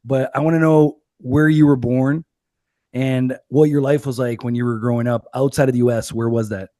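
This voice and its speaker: A man's voice: medium pitch, fairly even intonation, normal speed, warm tone, no room sound, studio quality. A man hosting a podcast, emphatic and joking, asking questions in a casual interview. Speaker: podcast host.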